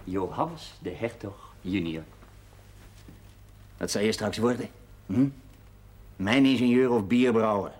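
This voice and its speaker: A man's voice: lowish voice, slow, pauses often, darkish tone. An actor with a Dutch accent playing a sardonic, evasive husband and new father in a period television drama, with a dry, brusque manner. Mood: dry, teasing. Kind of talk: naturalistic television drama acting. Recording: noisy 1970s television soundtrack.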